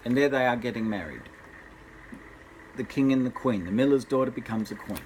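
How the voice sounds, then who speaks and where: A man's voice: mid-range voice, brisk, darkish tone, slight background noise, low-quality recording. An older man, a grandfather, reads a bedtime story aloud expressively, addressing small children.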